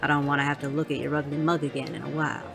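Woman acting a deep-voiced, prickly saloon madam. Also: flat delivery, very fast, vocal fry, fairly bright tone, soft background music. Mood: scornful, dry. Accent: American Western drawl. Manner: blunt, dry, cutting. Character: tough, prickly, foul-mouthed Wild West saloon madam. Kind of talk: audio drama acting.

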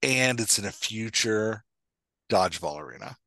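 Animated man chatting on a podcast. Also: on the low side, normal speed, gravelly, no echo. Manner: playful, joking. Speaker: podcast host and dialogue coach. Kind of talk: casual podcast discussion. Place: indoor room at home, video call. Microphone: headset mic.

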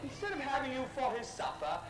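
Sly pantomime villain in echoey theatre. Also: male voice, very high-pitched, big pitch swings, heavy background noise. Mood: sly gloating. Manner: theatrical, drawn-out, oily. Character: gloating sly villain's henchman, mock-courteous man. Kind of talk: stage pantomime acting. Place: theatre stage. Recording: echoey theatre stage recording with audience.

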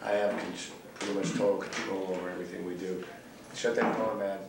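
A young man with a very low voice giving a blunt, profane backstage interview in a laid-back drawl. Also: level tone, quick pace. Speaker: band member being interviewed. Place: cramped backstage room indoors. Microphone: far camera mic. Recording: echoey room, distant camera mic, noisy.

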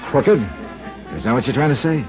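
An actor playing a blunt, clipped insurance investigator in a 1950s radio drama, on an old lo-fi recording. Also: male voice, medium pitch, normal speed, over music, natural voice. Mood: terse. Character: hard-boiled male insurance investigator, dry and probing. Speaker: lead detective character. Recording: dull lo-fi vintage radio transcription.

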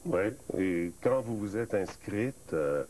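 Deep-voiced Québécois talk-show host. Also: male voice, lively intonation, dark tone, slight background noise. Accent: Quebec French. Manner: prompting, halting questions with many euhs. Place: TV studio set, seated at table.

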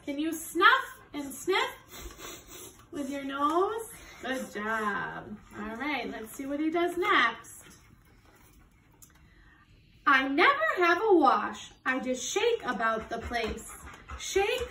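A woman's voice: high voice, bright, forceful tone. A woman in her thirties reading a picture book aloud to children like a teacher, encouraging them to join in, recorded on a phone in a living room. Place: living room at home, indoors. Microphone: phone camera from a few steps away. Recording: roomy echo, distant phone mic, background noise.

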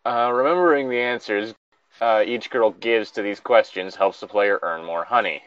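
A man's voice: lowish voice, moderate pace, fairly bright tone, smooth, no echo, lo-fi sound. A man in a casual joking chat, swearing freely as he reads aloud and comments as a co-host.

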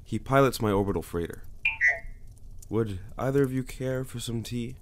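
A man playing a calm, cryptic older monk-like sage in a sci-fi audio drama, measured, knowing and gentle. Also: mid-range voice, heavy background noise, lightly acted. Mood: knowing, genial.

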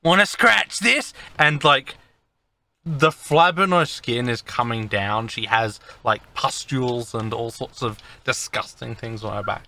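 A man narrating as game master of a tabletop game, halting with many fillers. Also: medium pitch, bright, forceful tone.

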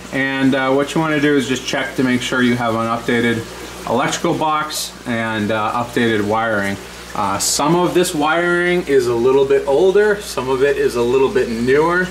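A man's voice: mid-range voice, background noise. A man hosting an aquarium hobby video, talking casually with lots of ums while filming a walkthrough of his home basement. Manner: relaxed and chatty, many ums and uhs. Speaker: aquarium hobby channel host. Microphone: handheld camera, speaker off-screen.

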